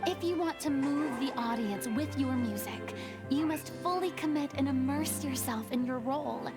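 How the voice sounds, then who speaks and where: A woman's voice: sits high, normal speed, close to an everyday voice. An actress playing a theatrical, self-assured young female stage star in a video game, speaking in a grandiose, haughty manner. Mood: grandly earnest. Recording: loud music behind the voice.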